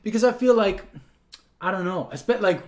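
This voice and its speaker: A man with a somewhat high-pitched voice in casual podcast chat. He talks in an informal, joking way. The room adds clear echo. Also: animated, normal speed. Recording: echoey room.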